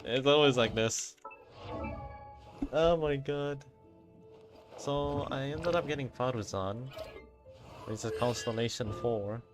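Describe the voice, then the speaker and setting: A man's voice: mid-range voice, slow, long pauses. A man giving casual gameplay commentary, muttering his reactions to himself as he plays. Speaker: gaming video creator. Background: video game music.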